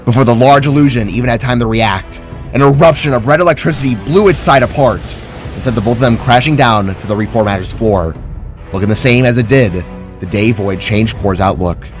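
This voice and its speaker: Man dramatically narrating, music behind. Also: mid-range voice. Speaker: narrator of own fantasy story.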